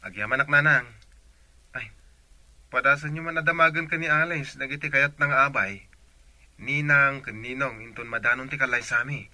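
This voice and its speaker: A man's voice: medium pitch, moderate pace, long pauses, pushed and bright, no echo. A man playing an eager, insistent grown son in a radio drama, with a Filipino Ilocano accent.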